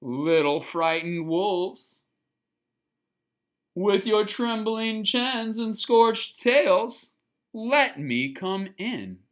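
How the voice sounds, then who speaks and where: A storybook reader voicing a big bad pig, a menacing bully with slow, booming, drawn-out threats. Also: expressive. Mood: menacing coaxing. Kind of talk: children's storybook read-aloud.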